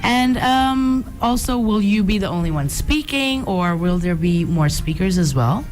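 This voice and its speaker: A woman's voice: medium pitch, expressive, a little slow, soft background music. A woman hosting a radio interview, asking curious, friendly questions. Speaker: radio host.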